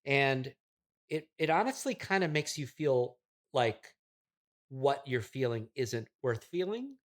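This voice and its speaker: Man playing smug, deadpan app developer, medium-pitched. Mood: earnest pitching. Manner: deadpan, halting, condescending. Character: smug, oblivious affluent male app developer, touchily defensive. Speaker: interview guest. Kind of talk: improvised deadpan comedy interview.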